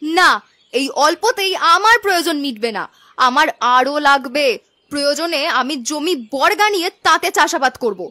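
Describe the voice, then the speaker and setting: A woman voicing a greedy, stubborn young farm girl who argues bossily in an animated moral-story cartoon. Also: sits high, moderate pace, dry recording. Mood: stubborn, ambitious. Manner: bossy, argumentative, scolding.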